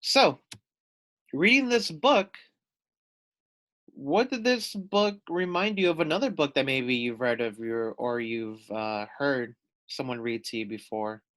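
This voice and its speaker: A man's voice: mid-range voice, measured pace, a bit bright. A man reading a storybook aloud like a teacher, expressively, talking directly to young listeners. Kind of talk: storybook read-aloud with questions for children.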